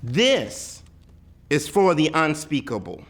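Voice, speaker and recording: A man giving a dramatic read-aloud of a poetic picture book, with deliberate, emphatic phrasing and weighty pauses. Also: a little high, sing-song, fairly bright tone. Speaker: reader of a children's picture book.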